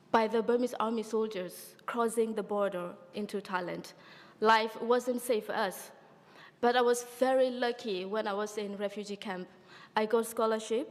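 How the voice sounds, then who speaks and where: A young adult woman from Burma with a Karen accent, giving an earnest, deliberate speech as an exiled activist at a conference. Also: fairly high pitch. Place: large conference hall. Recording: conference hall with long reverb.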